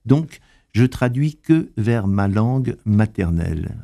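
An older man answering interview questions, hesitant and full of pauses and fillers. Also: lowish voice, animated, gravelly, warm tone. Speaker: interviewee, translator.

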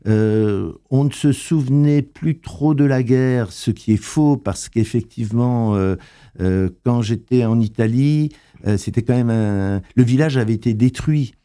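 An older man answering interview questions, hesitant and full of pauses and fillers. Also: medium pitch, dark tone. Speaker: interviewee, translator.

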